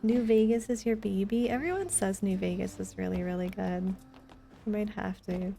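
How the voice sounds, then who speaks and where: A woman's voice: medium pitch, normal speed, gravelly, warm tone, a little hiss or hum. A woman live streaming a video game, chatting casually and answering her viewers as she plays. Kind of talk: casual live stream chat while playing. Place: indoors, live game stream with music behind.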